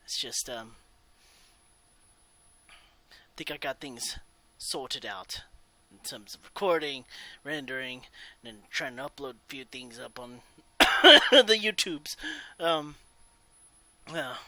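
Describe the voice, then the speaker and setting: A man giving casual, rambling let's play commentary as a gaming host, often halting and trailing off mid-sentence. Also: medium pitch, expressive, sharp tone, slight background noise. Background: video game music.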